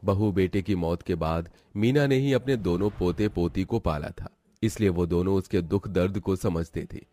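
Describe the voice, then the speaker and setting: A man's voice: deep voice, moderate pace, mellow. A man as narrator, reading a scripted cartoon story.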